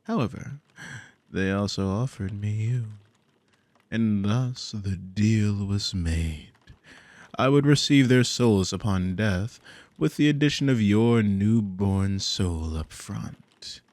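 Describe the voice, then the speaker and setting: A deep-voiced, slow-talking demon played by a man in an intimate ASMR roleplay. He is smug and possessive, drawling his commands with condescending, silky menace. The low voice crackles with gravel. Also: lively intonation, darkish tone, no echo. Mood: sly, storytelling. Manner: commanding, condescending, theatrical drawl with lingering pauses. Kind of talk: ASMR audio roleplay, intimate scripted monologue.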